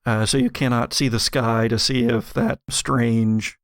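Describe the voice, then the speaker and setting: A man with a creaky, mid-pitched voice runs a tabletop horror game. He narrates dramatically, pausing for suspense between descriptive phrases. The recording is close and dry. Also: steady tone, no room sound. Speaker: game master. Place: home studio.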